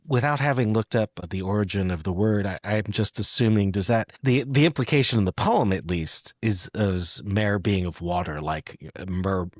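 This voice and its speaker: A man with a low voice hosts a casual literary discussion. He thinks aloud in a reflective, halting way, and the recording is very dry with hardly any room sound. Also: no echo. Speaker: podcast host.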